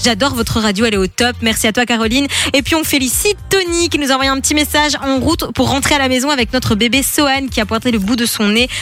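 Belgian woman co-host, rapid and lively, belgian accent, laughs a lot. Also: high voice, soft background music. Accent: Belgian French. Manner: lively, laughs often, quick reactions. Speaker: radio co-host. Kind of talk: live radio banter.

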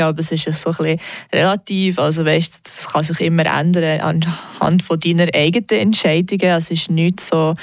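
A young woman, a native English speaker, chatting casually in an interview about her tarot readings, relaxed and full of small hesitations. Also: low voice, vocal fry, dry recording, studio quality. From Switzerland. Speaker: tarot reader.

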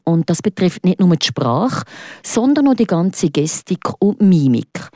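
Swiss German radio reporter, mellow low voice, very lively, dry studio. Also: female voice, measured pace, no echo.

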